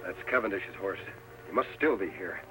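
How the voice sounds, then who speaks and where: An American actor playing a steady, commanding masked cowboy hero in an old-time radio western. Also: male voice, low voice, normal speed, background noise, close to an everyday voice. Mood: resolute. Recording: old radio broadcast with music behind.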